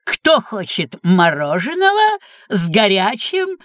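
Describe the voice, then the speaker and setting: A woman voicing a sly, kindly learned male cat in a children's audio play, with a theatrical, playful, drawn-out delivery. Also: medium pitch, huge pitch swings, a bit bright, dry recording, studio quality, exaggerated voice. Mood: kindly, animated.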